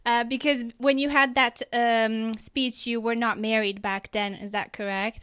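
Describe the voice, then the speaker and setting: Clear, high-voiced female podcast host. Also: fairly even intonation, a little slow, a bit bright. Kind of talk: casual interview chat.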